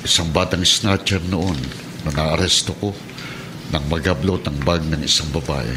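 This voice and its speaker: A man playing a kindly middle-aged Filipino policeman and adoptive father in a radio drama, measured and earnest. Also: extremely low pitch, fairly even intonation, background noise. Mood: grave, earnest. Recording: dramatic music bed behind the voice.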